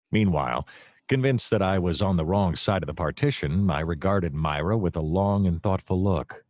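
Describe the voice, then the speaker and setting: An actor playing a wry, easily distracted private detective, narrating in a long-winded deadpan comic noir style. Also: male voice, low-pitched, no echo, studio quality, full character voice.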